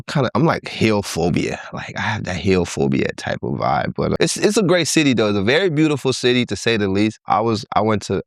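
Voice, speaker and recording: A man's voice: on the low side, no echo, clean studio sound. A man in his thirties from Liberia, a podcast guest telling his life story in a laid-back way and laughing easily. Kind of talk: casual interview conversation.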